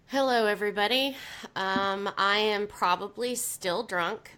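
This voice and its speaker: Bright, forceful woman in her 40s, head cold, boisterous co-host. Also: medium pitch, measured pace, clean tone. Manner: loud, laughing, rambling. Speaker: podcast co-host. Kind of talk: casual chat.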